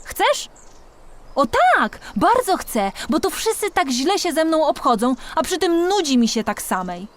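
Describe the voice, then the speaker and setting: A woman's voice: high voice, very animated, a little slow, voice pushed up. A woman voicing a naive, curious little female ant in a children's storybook, eager and childlike, given to exclaiming. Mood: excited, pleading.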